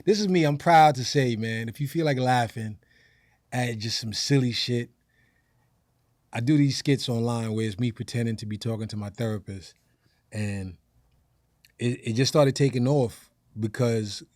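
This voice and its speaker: An American man with a medium-pitched voice in a dry, close recording. He speaks as a podcast guest, loose and slangy, working out his thoughts aloud as he goes. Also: moderate pace, no echo. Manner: slangy, conversational, thinks out loud. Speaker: stand-up comedian, podcast guest. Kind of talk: casual podcast interview.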